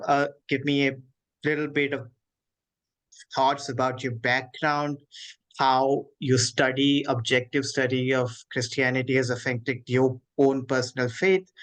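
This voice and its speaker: Indian-accented man, halting and formal interviewer. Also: medium pitch, a bit bright, low-quality recording. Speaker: interviewer, host.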